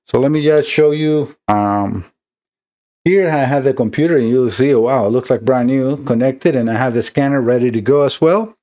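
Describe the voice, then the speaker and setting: A man's voice: mid-range voice, normal speed, dark tone. Man, Costa Rican Spanish accent, middle-aged, repair technician, chatty walkthrough, lots of fillers and restarts. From Costa Rica. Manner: conversational with frequent fillers and restarts.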